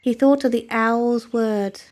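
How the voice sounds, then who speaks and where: A woman's voice: on the high side, steady tone, slow pace, a little mellow. A woman narrating a children's story as a scripted storyteller.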